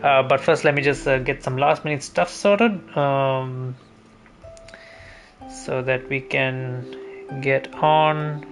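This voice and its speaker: A man's voice: mid-range voice, steady tone, takes it slowly, music in the background. A man chatting casually as a live game streamer, rambling and repeating phrases as he thinks.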